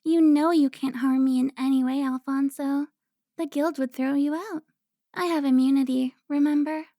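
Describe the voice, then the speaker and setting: Woman playing a high-voiced, taunting seductive vampire. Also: steady tone, warm tone, no room sound, studio quality. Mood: haughty, taunting. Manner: taunting, drawn-out, theatrical. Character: teasing, seductive female vampire informant, haughty and playful. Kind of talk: cinematic audio roleplay acting.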